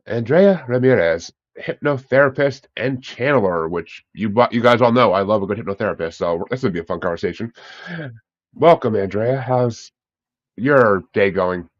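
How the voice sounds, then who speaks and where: A man's voice: on the low side, no room sound, dull audio. A man hosting a casual interview show, talking in an informal, self-deprecating, rambling way. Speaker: podcast host.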